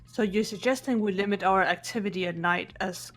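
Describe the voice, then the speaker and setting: Woman, steady matter-of-fact delivery, mid pitch, faint music. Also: brisk. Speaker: player voicing several characters. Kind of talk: fan voice acting of a game script. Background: faint game music.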